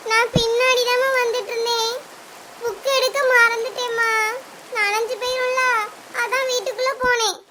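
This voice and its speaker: A woman's voice: very high-pitched, flat delivery, moderate pace, long pauses, sharp tone, rough recording. A woman voicing a frightened little girl trapped by floodwater, tearful and pleading. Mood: relieved.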